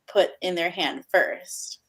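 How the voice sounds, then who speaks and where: A woman speaking in a measured, reflective way as a panelist in a casual book discussion. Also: on the low side, a bit bright.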